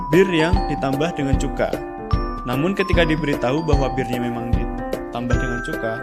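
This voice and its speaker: A man with a somewhat raised pitch reads a scripted lesson narration in a steady, explanatory way. Loud background music runs under his voice-over throughout.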